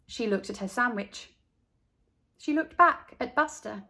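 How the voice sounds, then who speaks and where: A woman reading a picture book aloud for children, expressive and deliberate in her storytelling. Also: fairly high pitch.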